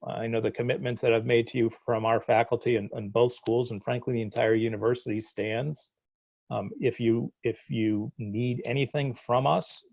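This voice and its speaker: Halting Boston man on a video call. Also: medium pitch, vocal fry, warm tone, lo-fi sound. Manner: halting, many restarts and fillers. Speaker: dean hosting a town hall. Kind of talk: informal closing remarks.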